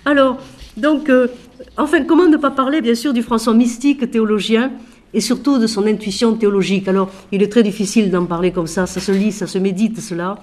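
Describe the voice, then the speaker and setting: A woman, a Franciscan sister and author, talks with feeling about her book, swinging from emphatic questions to quiet asides while she has a cold. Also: mid-range voice, a little fast. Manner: expressive, shifting from loud emphasis to quiet asides; asks rhetorical questions.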